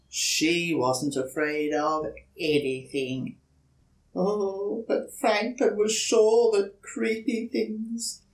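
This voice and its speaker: A woman reading a children's story aloud with deliberate, dramatic pauses. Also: deep voice, darkish tone. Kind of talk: expressive children's read-aloud. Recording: roomy echo, distant mic.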